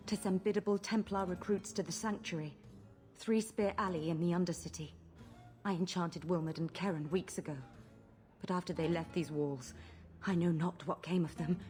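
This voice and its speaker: Woman acting a low-voiced seductive sorceress. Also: darkish tone, lightly acted. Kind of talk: dramatic video game dialogue. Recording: music behind the voice.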